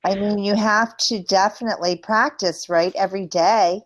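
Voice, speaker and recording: A woman's voice: mid-range voice, fairly even intonation, normal speed, noisy background. Woman tutoring English in simple short phrases. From United States. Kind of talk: one-to-one conversation lesson.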